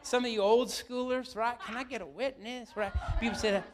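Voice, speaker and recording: Brief: middle-aged male pastor, high voice, slightly raspy, lively sermon that keeps asking questions. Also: brisk, noisy background. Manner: lively, asks the room questions often.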